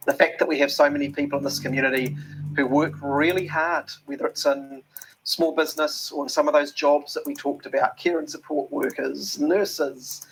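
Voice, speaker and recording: A man's voice: a little high, fairly bright tone, slight background noise, rough recording. A man with a New Zealand accent, an interview guest talking earnestly with many ums and restarts. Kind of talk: reflective interview talk.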